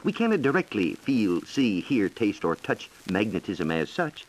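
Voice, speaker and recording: Low-pitched American man, lively 1940s radio explainer. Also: a little hiss or hum, low-quality recording. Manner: explanatory, measured, lecturing. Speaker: science reporter and guest expert. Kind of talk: scripted educational radio dialogue.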